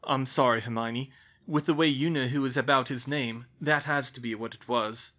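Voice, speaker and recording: A man reading aloud voices a calm young wizard who explains magic in a storybook. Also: mid-range voice, normal speed, lo-fi sound. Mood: explaining. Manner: steady, explanatory. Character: calm, knowledgeable young curse-breaker man.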